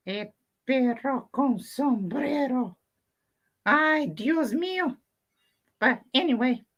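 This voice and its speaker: A woman voicing an excitable, huffy little boy puppet for a children's show, childlike and dramatic, with Spanish exclamations mixed in. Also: sits high, pitched above the performer's own voice, heavily acted.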